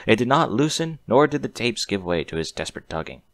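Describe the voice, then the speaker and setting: A man's voice: medium pitch, sing-song, brisk, gravelly, no echo. A man narrating a scripted story expressively on a close mic.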